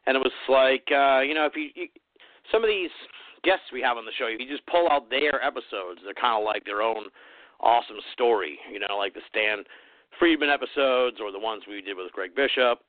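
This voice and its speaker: A man's voice: medium pitch, a little fast, no echo. A man hosting a radio show, talking loosely with lots of fillers. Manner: loose, rambling, many fillers. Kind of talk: casual radio interview. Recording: dry tinny phone-like sound.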